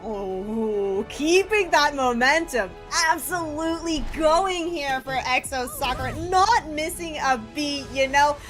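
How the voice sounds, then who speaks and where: A woman's voice: sits high, animated, slow, hardly any pauses, fairly bright tone. A woman working as an esports colour commentator, giving live analysis of a match. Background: faint music.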